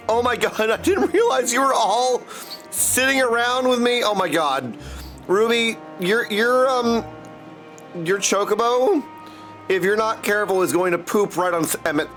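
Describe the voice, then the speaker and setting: A high-pitched male streamer with a very lively, swooping delivery. He talks casually and playfully to his viewers in slangy banter, with faint music behind him. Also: unhurried, pauses often. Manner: goofy, slangy, chatty with viewers. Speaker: game livestreamer. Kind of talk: casual livestream chat over gameplay. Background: game music.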